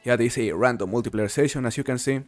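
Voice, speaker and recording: A man's voice: medium pitch, quick pace, dry recording, faint music behind. A man from Mexico hosting a casual game live stream, chatting with viewers while he plays. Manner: relaxed, chatty, answers viewers while playing. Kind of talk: casual live-stream commentary, reading chat.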